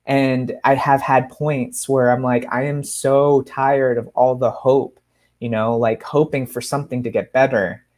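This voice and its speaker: A man's voice: mid-range voice, little pitch movement, a little slow, a little mellow. Thoughtful man, a guest author talking candidly. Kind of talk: conversational interview about writing.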